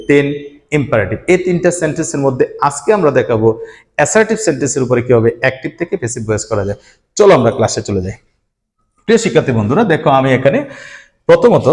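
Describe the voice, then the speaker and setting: Brisk Bangladeshi male grammar teacher. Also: fairly high pitch, a little mellow. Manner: addresses students directly, repeats rules step by step. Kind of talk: classroom grammar lesson to students.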